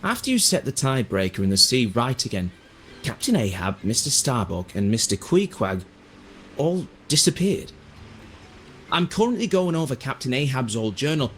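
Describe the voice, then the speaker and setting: A man playing a thoughtful, earnest seafarer in a video game, musing in a reflective and deliberate way. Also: mid-range voice, very animated, a bit bright, slight background noise. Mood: pondering, hopeful. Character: thoughtful adult male seafarer, earnest and wistful. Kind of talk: video game voice acting, narrative dialogue.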